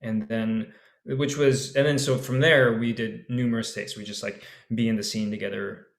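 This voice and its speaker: A man's voice: on the low side, normal speed, vocal fry. Guy rambling about recording at home, lots of ums and restarts, echoey. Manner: loose and animated, full of fillers and restarts. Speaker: radio play actor. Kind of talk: casual panel discussion. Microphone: home setup over video call.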